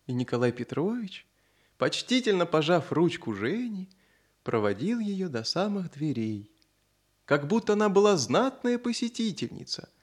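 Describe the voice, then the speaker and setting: High, lively male children's story narrator. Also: moderate pace. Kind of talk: expressive scripted storytelling for children.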